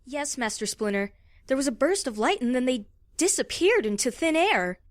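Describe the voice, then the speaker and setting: A friendly, geeky young woman played by an actress in an audio drama. She is chatty and lively, a little high in pitch, with a dry sound. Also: normal speed, no room sound. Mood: thoughtful. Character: young human woman, friendly self-described sci-fi geek. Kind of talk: dramatised comic-book audio acting.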